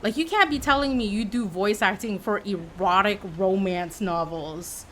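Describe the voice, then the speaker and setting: A woman in her forties livestreams and chats with her viewers in a casual, expressive way. She teases and jokes as she goes. Her pitch sits in an ordinary middle range for a woman. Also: moderate pace, a little hiss or hum. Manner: chatty and expressive, teasing and playful.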